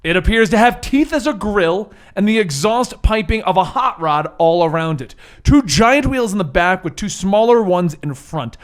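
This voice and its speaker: A man narrating an improvised tabletop game as the game master. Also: high-pitched.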